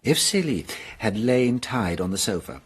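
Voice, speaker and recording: An actor playing a shrewd detective in a radio drama, explaining a case in a measured, amused manner. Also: male voice, lowish voice, sing-song, breathy edge, full character voice. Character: shrewd French police detective, Inspector Hanaud.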